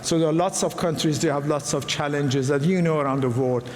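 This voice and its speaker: A middle-aged man from Iran with an Iranian accent, giving a personal talk to a live audience in an earnest, halting way with frequent restarts. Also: fairly high pitch. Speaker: guest speaker. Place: large hall. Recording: echoey hall, faint room noise.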